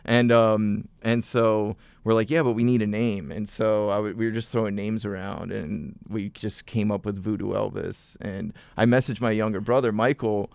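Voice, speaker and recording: A young man talks loosely as a podcast guest, circling back through fillers and restarts. His voice sits fairly low and sounds smooth and clean. The recording is close and dry. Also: normal speed, few pauses, no room sound. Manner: relaxed storyteller, many fillers and restarts. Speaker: podcast guest, musician and vocal coach. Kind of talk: casual podcast interview.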